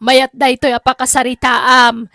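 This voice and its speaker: A woman narrates an Ilocano drama as its storyteller. Her voice is fairly high, and she speaks in a radio storytelling style. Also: level tone, measured pace, bright, forceful tone.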